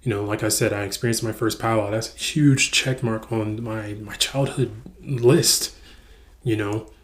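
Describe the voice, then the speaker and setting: A man's voice: on the low side, steady tone, unhurried. A young man from the United States, talking earnestly in a reflective personal vlog and stumbling over words with frequent repeats. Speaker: vlogger.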